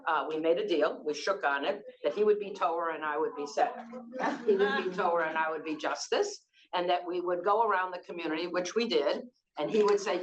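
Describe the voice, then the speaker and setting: A woman's voice: sits low, poor audio. A woman in her sixties with a Boston accent, moderating a conference panel in a loose, conversational way with frequent fillers and restarts. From Boston, United States.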